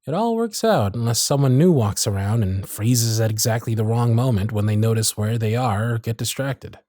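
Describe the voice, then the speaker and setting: A man playing a charming, gentle circus magician who is smitten and privately anxious, speaking tenderly and softly in an ASMR romance roleplay. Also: medium pitch, moderate pace, no echo, clean studio sound, natural voice. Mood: warm, charming. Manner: soft-spoken, flattering, tender, with hushed asides. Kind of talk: ASMR romance roleplay with intimate inner monologue.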